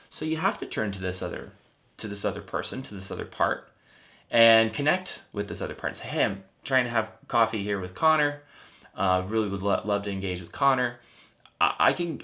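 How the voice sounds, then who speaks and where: A man in his thirties, a life coach, gently and earnestly explaining a therapy method, with frequent fillers and restarts. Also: on the low side. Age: 30s. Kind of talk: explanatory teaching talk.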